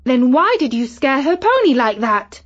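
A woman's voice: sits high, very animated, normal speed, lo-fi sound, pitched above the performer's own voice. A woman voicing brave little magic girl Katie. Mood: urgent. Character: brave young girl who can do magic.

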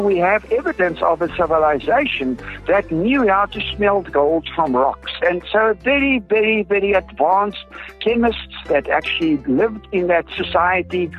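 A man's voice: high voice, slightly rough. A man from South Africa with an Afrikaans accent, a native Afrikaans speaker, explaining things earnestly as an expert guest in an interview. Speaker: groundwater researcher, interview guest. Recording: dull lo-fi audio with music behind. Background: music.

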